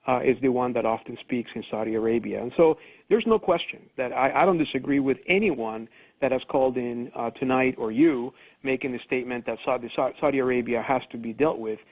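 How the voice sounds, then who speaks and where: A man's voice: mid-range voice, brisk. A man, a criminology professor, giving confident, explanatory commentary as a radio guest. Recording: tinny phone line.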